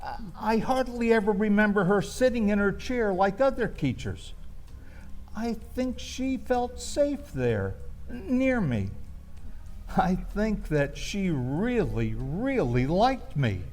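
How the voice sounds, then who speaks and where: A man with a high-pitched voice shares a personal, gently comic reminiscence. He speaks slowly and hesitantly, with lively swings in his intonation. The delivery is that of a wistful storyteller who leaves room between phrases.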